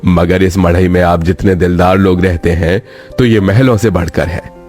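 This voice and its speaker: A man's voice: deep voice, fairly even intonation, moderate pace, a little mellow, soft background music. A man playing a dignified, kindly older rich father-in-law in a cartoon story, speaking in a stately way. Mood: gracious. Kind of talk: Hindi cartoon story dialogue.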